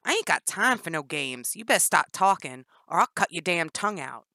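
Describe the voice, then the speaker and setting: A woman voicing a wiry, volatile female kidnapper with an explosive temper and a Southern twang, loud and brash. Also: fairly low pitch, sing-song, normal speed, no room sound, studio quality. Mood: threatening. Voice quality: brash and shouting.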